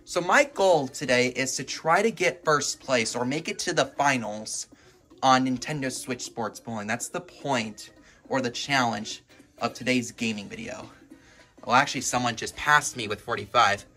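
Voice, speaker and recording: A man doing casual, excitable gameplay commentary as a video host, reacting aloud as he plays. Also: medium pitch, moderate pace. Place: indoors at home, filming a TV.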